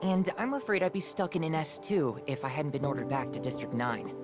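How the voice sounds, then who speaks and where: Calm, low-voiced young male squad leader. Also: a little fast. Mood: polite, assured. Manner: polite, formal, assured. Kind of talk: scripted video game dialogue. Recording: game music behind the voice.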